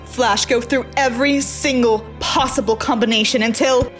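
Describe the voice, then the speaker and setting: Superhero queen woman actor: high register, commanding, dramatic, determined and fierce, faint music underneath. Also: steady tone, normal speed, lightly acted. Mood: brisk, wry. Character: determined warrior queen of Atlantis, fierce when provoked. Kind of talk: superhero fan audio drama.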